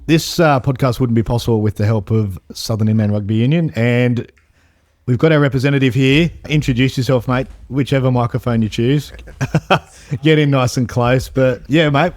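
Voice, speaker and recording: A man hosting a casual podcast in an office, jokey and quick to laugh. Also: medium pitch, a little mellow. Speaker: podcast host.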